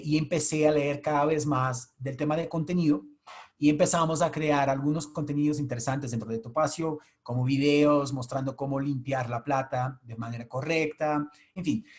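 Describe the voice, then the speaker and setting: A man in his thirties, a native Portuguese speaker with a Portuguese accent, giving an explanatory webinar talk to an audience over a video call. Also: on the high side. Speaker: guest speaker. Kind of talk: webinar presentation.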